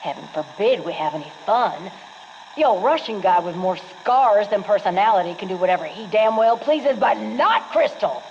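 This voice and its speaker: An actress playing a brash, folksy Marine quartermaster with an American accent, delivering sassy, salty patter for a game. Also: female voice, mid-range voice, lively intonation, normal speed, darkish tone, lightly acted. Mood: indignant. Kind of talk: scripted game monologue. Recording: dull, noisy radio log.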